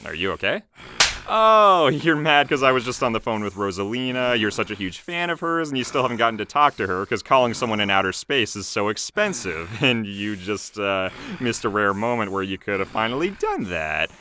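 Man as a wildly animated, bright plumber hero. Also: fairly low pitch, a little fast, slight background noise. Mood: sympathetic. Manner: chatty, playful. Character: friendly, upbeat cartoon plumber hero. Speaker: host. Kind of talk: fan cartoon dialogue.

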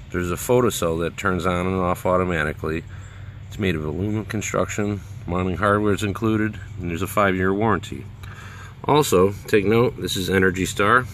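A man's voice: low-pitched, a little hiss or hum. A man in his thirties giving a casual, practical product review with many fillers and restarts, filming close-ups at home. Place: home, over a glass patio table, then outdoors in a backyard.

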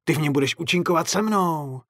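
A man voicing a vengeful, mocking ghostly circus clown with a raspy voice in an audiobook story. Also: fairly high pitch, a bit bright, no echo, studio quality, voice pushed up. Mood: gleeful menace. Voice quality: raspy, throaty laugh. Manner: mocking, sing-song scolding.

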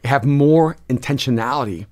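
A man in his sixties, born in El Salvador, talks expansively into a close studio mic in a dark podcast studio. His mid-pitched voice races ahead in places, then slows down to land a point. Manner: expansive, gestures a lot, quick bursts then slow emphasis. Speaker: pastor and author, podcast guest. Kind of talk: reflective conversational storytelling. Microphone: studio mic on a boom, close.

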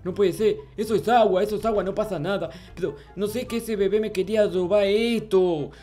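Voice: medium pitch, steady tone, a little fast, warm tone, lightly acted. A performer playing a naive, stubborn, suspicious little kid in an improvised Minecraft roleplay, whiny and stammering, repeating words. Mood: suspicious, grumbling. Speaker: gaming video host. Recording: music under the voice. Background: music.

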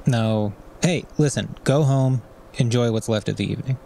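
An actor playing a weary, kind, overworked office colleague in a naturalistic audio drama, earnest and a little hesitant. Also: male voice, mid-range voice, normal speed, creaky, warm tone, a little hiss or hum, lightly acted. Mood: warm, encouraging.

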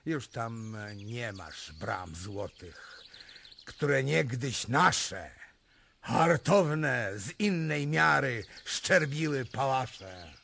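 An actor playing a solemn Polish court poet reciting verse ceremoniously in a radio drama. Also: male voice, mid-range voice, expressive, extremely slow, gravelly, bright, forceful tone, a little hiss or hum, heavily acted. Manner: declamatory, ceremonious.

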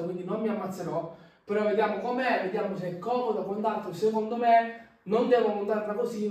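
A young man chats through a how-to in his home gym, pointing at the gear as he explains. His voice sits high for a man. He is heard from across a large, very echoey room. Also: darkish tone, far from the mic. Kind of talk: casual how-to vlog. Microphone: camera across the room.

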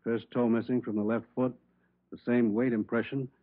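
A man's voice: mid-range voice, level tone, moderate pace, dry recording, narrow, tinny audio, lightly acted. Thin-sounding, dry, clipped police lab man male actor. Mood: clinical. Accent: American. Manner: matter-of-fact, clipped. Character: methodical, terse male police forensics man. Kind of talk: 1950s radio crime drama acting. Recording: thin old radio recording.

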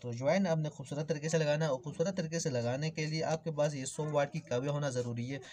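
A man's voice: on the high side, quick pace, a little mellow. A man giving an informal hands-on repair tutorial, explaining things step by step and addressing viewers as friends. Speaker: electrical repair tutorial host. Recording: clearly noisy background with clicks.

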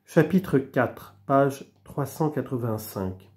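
A man slowly reading aloud from an old theology book, measured and deliberate, with small restarts. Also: mid-range voice, expressive, darkish tone. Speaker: reader of a religious book. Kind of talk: slow read-aloud of a theology text.

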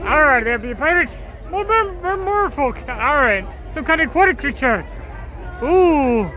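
A woman's voice: sits high, sing-song, moderate pace, sharp tone. A woman vlogger walking through an outdoor convention promenade, giving casual commentary in a put-on pirate manner with growled "arr" interjections. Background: faint crowd chatter.

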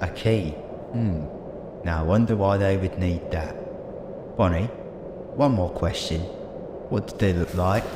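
An eccentric, reassuring time-travelling hero acted by a man in a sci-fi audio drama. His low voice muses earnestly through echo and loud background noise. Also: measured pace, long pauses. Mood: thoughtful. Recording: echoey amateur audio drama, very noisy background.

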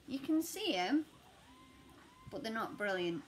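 Woman in her thirties, a touch hoarse, rambling and self-deprecating, relaxed slow delivery. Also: fairly high pitch, slight background noise. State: slightly croaky voice. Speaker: cross-stitch vlogger. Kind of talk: casual chat showing stitching projects. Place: living room at home, seated on a sofa.

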